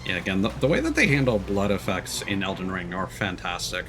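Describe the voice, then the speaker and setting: Guy doing casual lets play commentary, lowish voice, really animated pitch, bit of music behind. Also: fairly quick. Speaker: streamer playing a video game.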